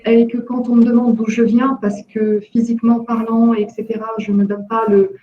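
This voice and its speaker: A woman's voice: a little high, level tone, normal speed, a little hiss or hum. Hesitant woman on an echoey call. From Jordan. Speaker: workshop participant, entrepreneur. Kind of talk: hesitant online discussion. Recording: echoey, dull lo-fi call audio.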